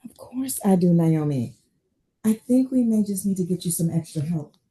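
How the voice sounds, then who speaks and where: Woman acting a measured low-voiced psychiatrist. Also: animated, mellow, breathy edge, poor audio. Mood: calm concern. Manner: measured, professional, hesitant at times. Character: measured, concerned female psychiatrist, loyal and guarded. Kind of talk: table read of a drama script.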